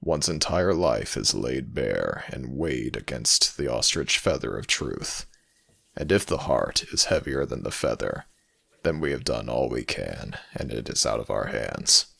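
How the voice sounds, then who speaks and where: Very deep, gravelly god of death. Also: male voice, expressive, fairly bright tone, exaggerated voice. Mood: solemn. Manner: formal, commanding, measured. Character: Anubis, stern, weary male god of the dead. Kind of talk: ASMR audio roleplay acting.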